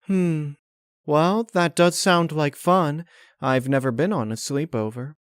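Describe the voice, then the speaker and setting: A man's voice: a little high, slow pace, clean tone, dry recording, clean studio sound, voice pushed up. A man voicing a sweet, apologetic young female pony in an earnest, hesitant way for a story reading. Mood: agreeable.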